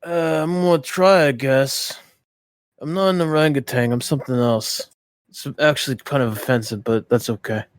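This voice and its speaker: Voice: mid-range voice, moderate pace, no echo. Musing game player in a dry recording. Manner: musing, thinking aloud. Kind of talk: casual game chat.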